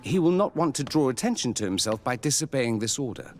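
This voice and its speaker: Lively, wary camp doctor played by a man. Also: mid-range voice, a little fast, slight background noise, close to an everyday voice. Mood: wary, confiding. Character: cautious, respectful army camp doctor. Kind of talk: video game dialogue acting.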